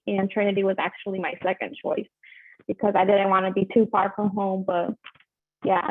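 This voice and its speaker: A young woman, a former scholar and nursing student, telling her story casually with many fillers. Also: medium pitch. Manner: casual, youthful, lots of like and um. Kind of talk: personal testimony. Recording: tinny phone-like line, dry.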